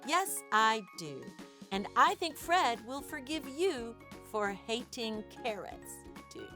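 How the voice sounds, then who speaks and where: Woman as slow, patient papa bear. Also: fairly high pitch, sing-song, pushed and bright, soft background music, full character voice. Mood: reassuring. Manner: measured, emphatic, instructive. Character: patient, wise father bear teaching his cub.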